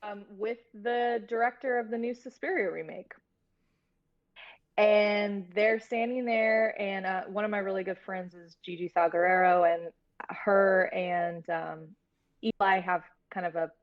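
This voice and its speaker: A woman's voice: mid-range voice, steady tone, measured pace, highs cut off. A woman in her thirties talking candidly and informally as a film producer being interviewed, rambling a little as she tells stories. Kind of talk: casual interview storytelling.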